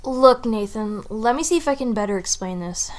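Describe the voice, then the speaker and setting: A woman acts out a police detective in an audio drama interrogation. Her voice is slightly high. She is reasonable and steadying, explaining and coaxing in measured terms. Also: lively intonation, natural voice. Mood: persuasive, firm.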